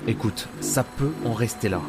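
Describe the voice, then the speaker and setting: An actor playing a gruff, weary but principled adventurer in an audio drama, grumbling and muttering to himself. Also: male voice, mid-range voice, normal speed, loud music behind the voice, close to an everyday voice. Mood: calm, firm. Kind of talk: audio drama acting with inner monologue.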